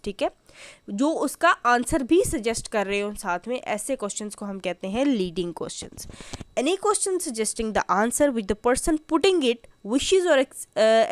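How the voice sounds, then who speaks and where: A woman's voice: fairly high pitch, no echo. A woman with a Pakistani accent giving an explanatory law lecture in a didactic way, repeating points and checking in as she goes. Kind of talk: explanatory lecture mixing Urdu and English.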